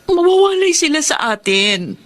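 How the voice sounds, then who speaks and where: A woman's voice: high-pitched, big pitch swings, moderate pace. A woman playing a worried, tender middle-aged Filipino wife and mother in a radio drama, pleading and emotional, with a Filipino accent. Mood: sad, longing. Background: music.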